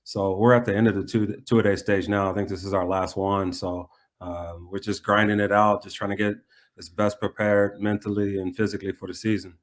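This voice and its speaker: Low-voiced, even-toned American man. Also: fairly quick. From United States. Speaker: soccer head coach being interviewed. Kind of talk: conversational interview answers.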